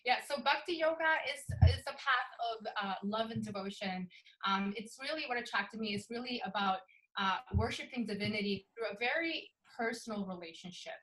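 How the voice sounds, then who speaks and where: A woman's voice: a little high, expressive, brisk, sharp tone, poor audio. A young woman from Sweden, a photographer, talking earnestly and eagerly as an interview guest, with frequent ums. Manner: earnest, enthusiastic, many ums. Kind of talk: casual interview talk.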